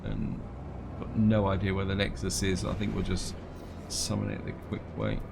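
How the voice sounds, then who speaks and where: A man with a croaky, congested voice from a cold, casually commenting on his gameplay and thinking aloud as he goes. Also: low voice, completely flat delivery, moderate pace, background noise. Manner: rambling, thinks aloud with fillers and restarts; reads on-screen game text aloud.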